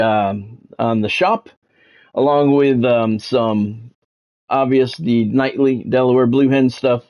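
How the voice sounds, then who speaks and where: A man with a medium-pitched voice talks casually as a livestream host running through sports updates. His delivery is halting, and he often doubles back to repeat a word or phrase for emphasis. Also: a little mellow. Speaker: livestream host, former radio play-by-play announcer.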